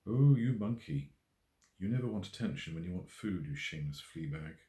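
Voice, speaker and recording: A man's voice: deep voice, lively intonation, normal speed, warm tone. A man voicing an introspective, self-doubting single woman in an audiobook, musing and questioning herself. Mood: teasing, fond. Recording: roomy echo.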